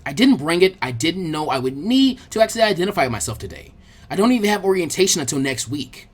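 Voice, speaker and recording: A narrator voicing a brash, hot-headed young boy ninja in an audio story, loud, cross and defiant. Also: mid-range voice, sing-song, quick pace, slightly rough. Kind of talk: expressive fan fiction character reading.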